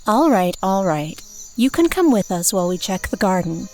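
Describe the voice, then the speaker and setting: A woman playing a calm, sensible mother in a fantasy audio drama, explaining things with composure. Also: medium pitch, moderate pace, slight background noise. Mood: indulgent, watchful.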